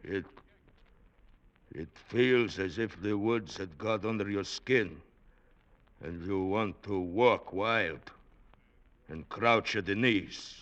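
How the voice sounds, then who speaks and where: Sharp, intense young peasant man. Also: on the low side, slow, pauses often. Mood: brooding. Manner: intense, blunt. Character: defiant, brooding young peasant son, haunted former prisoner. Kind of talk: 1940s radio drama acting. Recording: dull vintage radio recording.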